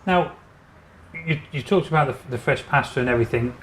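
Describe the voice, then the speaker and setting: A man's voice: medium pitch, brisk, echoey room, a little hiss or hum. A man hosting a casual local interview as an estate agent, rambling with many fillers and restarts. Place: indoors in a new deli.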